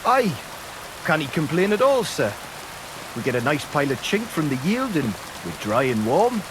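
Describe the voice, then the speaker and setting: An actor playing a plain-spoken medieval smelter laborer with rough dialect talk, in video game dialogue. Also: male voice, fairly high pitch, very animated, moderate pace, background noise. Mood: explaining. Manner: dialect-heavy working-man talk, evasive. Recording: game audio with loud rain.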